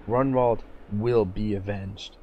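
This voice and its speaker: A man's voice: fairly low pitch, fairly even intonation, slow pace, highs cut off, slight background noise. A man reading aloud as a stern, wrathful lord commander who snaps out orders. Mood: angry commanding.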